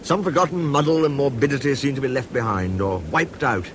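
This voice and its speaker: An actor playing a genial literary storyteller with a British accent, narrating a mystery in a measured, wry, ornate manner. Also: male voice, mid-range voice, a bit bright, slight background noise, close to an everyday voice. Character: genial, literary male storyteller, fond of his friend. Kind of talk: scripted first-person story narration.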